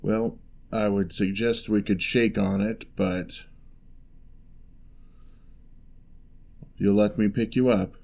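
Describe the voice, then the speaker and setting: A man playing a lonely, gentle male giant in a fantasy ASMR roleplay, speaking in a measured, wry, slightly formal way. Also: fairly low pitch, little pitch movement, gravelly. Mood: softly companionable.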